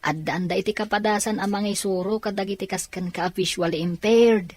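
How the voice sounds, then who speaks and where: A woman's voice: medium pitch, fairly quick, darkish tone, dry recording, natural voice. A woman playing a friendly, encouraging young character in a Filipino radio drama.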